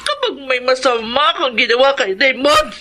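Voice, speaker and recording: High-voiced, fiercely emotional older sister. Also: female voice, wildly swooping pitch, moderate pace, bright, forceful tone, full character voice. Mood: angry, threatening. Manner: emotional, confrontational. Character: Cecilia, hurt, protective older sister in love. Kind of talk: Filipino radio drama acting.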